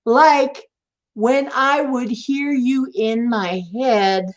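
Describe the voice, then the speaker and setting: A woman's voice: high-pitched, drawn-out words. A woman hosting a live stream, chatting casually and encouraging her guest, repeating herself. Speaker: stream host. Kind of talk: casual chat.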